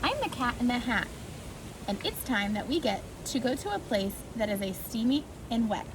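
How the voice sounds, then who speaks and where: A woman's voice: a little high, lively intonation, moderate pace. A woman reading a rhyming picture book aloud to preschoolers in a sing-song way, with brief asides. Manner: sing-song rhyming delivery addressed to young children. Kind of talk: read-aloud children's storytime with brief asides. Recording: clearly noisy amateur recording.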